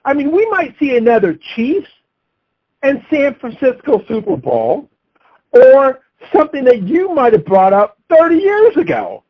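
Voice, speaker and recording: A man's voice: extremely high pitch, huge pitch swings, measured pace, breathy edge, highs cut off. A man talking through sports analysis and betting picks in an unscripted way, as an analyst.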